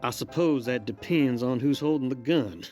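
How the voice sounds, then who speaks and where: A man's voice: medium pitch, moderate pace, close to an everyday voice. Man voicing a mayor, folksy, sly, veiled menace, audio drama, faint music behind. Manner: folksy, sly, quietly threatening. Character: smooth, menacing frontier town mayor, blackmailer.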